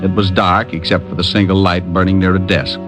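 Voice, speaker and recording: An actor playing a breezy, teasing private detective in old-time radio drama style, with sarcastic, playful delivery. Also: male voice, low-pitched, flat delivery, fairly quick, over music, close to an everyday voice. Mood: wry narration.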